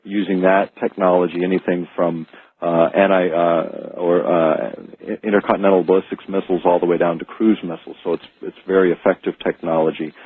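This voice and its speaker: An American man giving an informal expert explanation as a radio interview guest, halting and repeating words as he goes. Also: low voice, flat delivery, husky, no room sound. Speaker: interview guest, researcher and author. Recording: narrow, dry phone-like radio sound.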